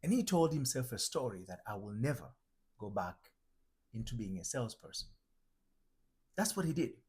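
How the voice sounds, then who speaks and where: Husky Tanzanian man teaching with lively pitch. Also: normal speed. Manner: reflective, repeats and restarts phrases while building a point. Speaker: identity transformation coach. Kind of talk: motivational teaching monologue.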